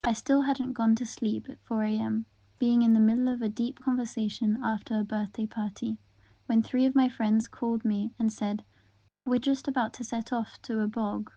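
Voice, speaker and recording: A woman reading interview answers aloud in the first person, in an even, gentle and unhurried way. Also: fairly high pitch, no room sound, muffled recording.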